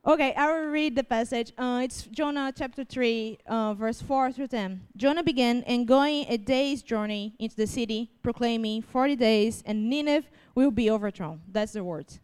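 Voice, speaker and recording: A woman's voice: fairly high pitch, moderate pace, few pauses, darkish tone, clean tone. A young woman from Brazil with a Brazilian Portuguese accent, reading scripture and preaching informally in an earnest, self-deprecating way, with many fillers. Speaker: church speaker.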